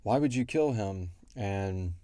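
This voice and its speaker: Male podcast host, deep-leaning pitch, very soft dark tone, close dry sound, informal, slangy, joking. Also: expressive, slow, no room sound. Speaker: podcast host and show creator. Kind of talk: casual Q&A discussion.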